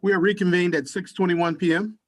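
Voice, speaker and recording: A man's voice: sits high, steady tone, a little fast. A man serving as a meeting clerk, making formal announcements and roll calls. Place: virtual meeting call.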